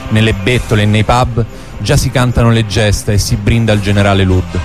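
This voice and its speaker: Low-voiced male narrator over music. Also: steady tone, brisk. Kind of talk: scripted radio drama narration. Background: music under the voice.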